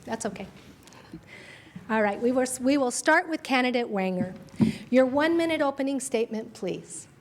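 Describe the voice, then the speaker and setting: A woman's voice: fairly high pitch, expressive, normal speed. Orderly woman moderating a forum. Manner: orderly, brief prompts.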